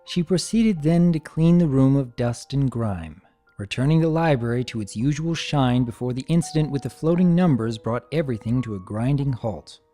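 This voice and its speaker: A narrator reading a story aloud in an expressive storytelling style. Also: mid-range voice, normal speed. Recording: music behind the voice.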